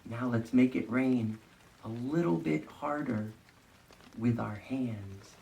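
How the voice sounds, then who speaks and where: A man's voice: mid-range voice, takes it slowly, vocal fry, dark tone, a little hiss or hum. A man working as an educator, leading an interactive storytime for young children with simple guiding phrases and questions. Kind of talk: interactive children's read-along with movement prompts.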